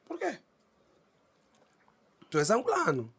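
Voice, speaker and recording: A male podcast host asks probing questions and teases his guest. His voice sits high and bright, with a very lively, swooping intonation and a quick pace. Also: gravelly, a little hiss or hum. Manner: probing, teasing. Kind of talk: casual interview questions.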